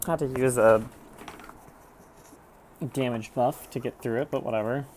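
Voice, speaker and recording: A man's voice: mid-range voice, fairly even intonation, unhurried, darkish tone, a little hiss or hum. A man giving casual, skeptical commentary while he streams a video game. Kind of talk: casual live gameplay commentary. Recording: game music behind voice. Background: game music.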